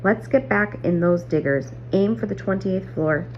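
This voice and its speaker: A woman's voice: mid-range voice, moderate pace, lightly acted. A woman voicing an earnest, patient young miner who leads a team, instructing emphatically in a storybook reading. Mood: encouraging. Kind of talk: acted dialogue in a storybook reading. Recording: muffled audio, clear background hum.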